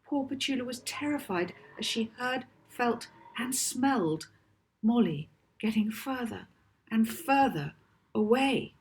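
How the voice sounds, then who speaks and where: A woman author reading her children's novel aloud as expressive storybook narration, with deliberate phrasing and dramatic emphasis. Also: on the high side.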